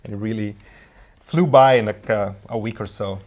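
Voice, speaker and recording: A man's voice: lowish voice, normal speed. A man with a Brazilian accent hosts a casual live stream. His talk is loose and friendly, full of fillers and restarts, and he reads viewer comments aloud as he goes. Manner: relaxed, chatty, many fillers and restarts. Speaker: livestream host.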